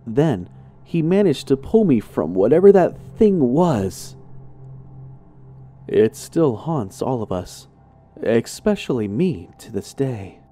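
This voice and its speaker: A man's voice: mid-range voice, very animated, slow, dark tone, soft background music. A man reading a horror story in a suspenseful, theatrical narrating style. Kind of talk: dramatic scripted narration.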